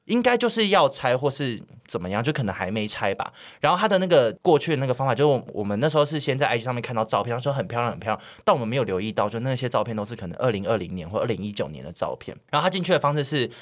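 Fast-talking Taiwanese Mandarin man, rambling storyteller. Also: mid-range voice, expressive. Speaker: podcast co-host. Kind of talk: casual chat and anecdote.

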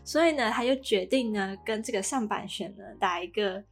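A woman's voice: mid-range voice, moderate pace, husky, faint music behind. A woman with a Taiwanese Mandarin accent co-hosting a podcast, casually recapping a drama in a chatty, explanatory way. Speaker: podcast co-host.

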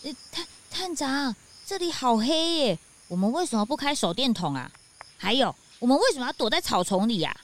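A woman playing an excitable young detective's sidekick in a children's radio drama, exclamatory and quick to whine. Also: high-pitched, a little hiss or hum. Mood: puzzled.

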